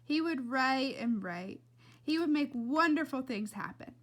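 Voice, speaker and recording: A woman reading a children's novel aloud as an expressive storyteller. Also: high-pitched, measured pace. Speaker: reader.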